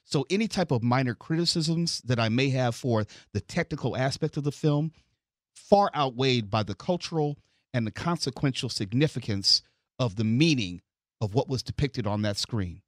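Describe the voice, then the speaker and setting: Male podcast host, mid pitch, dry close mic, measured and articulate. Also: expressive, dry recording. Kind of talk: podcast commentary.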